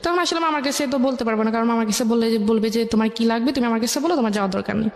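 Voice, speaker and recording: A woman's voice: on the high side, racing. A woman with a Bangladeshi Bengali accent telling her personal story in a radio interview, recounting conversations as dialogue. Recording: echoey room with long reverb.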